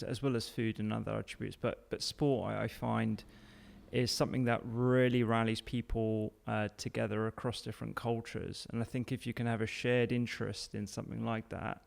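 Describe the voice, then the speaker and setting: A man's voice: medium pitch, creaky. A man hosting a conversational podcast interview in an informal, enthusiastic way.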